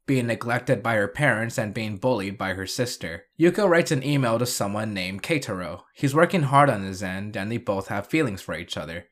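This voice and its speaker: A man narrates a scripted recap as the host of a video. His voice sits at an ordinary middle pitch, and he drops in wry, dry asides as he goes. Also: fairly bright tone.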